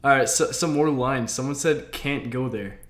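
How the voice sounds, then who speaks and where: A man's voice: medium pitch, normal speed, a bit bright. A man hosting a casual chat on a game stream. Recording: roomy, echoey home stream audio.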